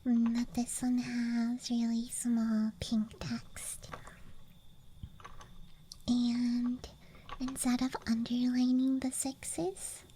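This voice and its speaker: A woman doing a whispered ASMR show-and-tell, murmuring softly and breathily to the listener in an unhurried way, as the host. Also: fairly high pitch, monotone. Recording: faint background noise, outdoor night ambience. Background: faint rural night ambience sounds.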